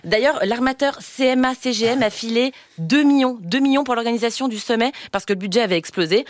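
A woman's voice: on the high side, quick pace, dry recording, clean studio sound. A woman delivering a satirical radio column in a sarcastic, punchy way. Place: radio studio.

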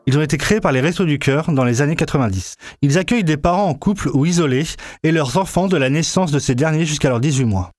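Male reporter for scripted explainer narration, slightly high voice, dry close studio sound. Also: quick pace, darkish tone, dry recording.

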